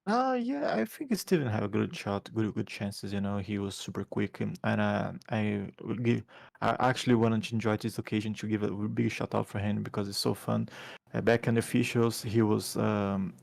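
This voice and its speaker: A man with a Brazilian accent, a race winner answering questions after the race in halting, repetitive phrasing over a headset call. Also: on the low side, vocal fry, a little mellow. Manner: halting, repeats words, second-language phrasing. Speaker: race winner being interviewed. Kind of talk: post-race interview.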